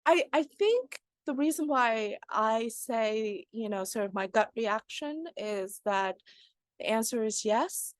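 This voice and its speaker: A woman from Vietnam, a writer and panel guest, speaking thoughtfully and haltingly in a conversational discussion. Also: on the high side, lively intonation, no room sound.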